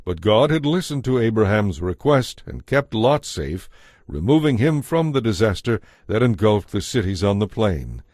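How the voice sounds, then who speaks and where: Male narrator, lowish pitch, scripted Bible storytelling, dry studio sound. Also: big pitch swings, moderate pace, a little mellow, no room sound.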